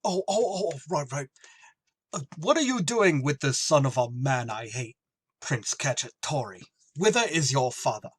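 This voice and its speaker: A man's voice: on the high side, animated, measured pace, husky, no echo. An actor playing a dim-witted male bar regular in an old-time radio comedy, bumbling through his lines.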